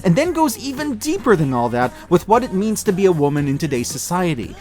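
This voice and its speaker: Animated man, high-pitched, reviewing casually. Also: a little fast. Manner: casual, joking, wry. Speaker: host of a year-end media review show. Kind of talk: scripted review narration. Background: music behind the voice.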